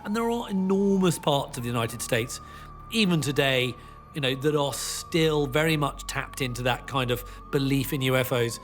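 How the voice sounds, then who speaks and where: A man's voice: mid-range voice, normal speed, fairly bright tone, soft background music. A man giving informal interview commentary with conversational asides. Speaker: documentary commentator.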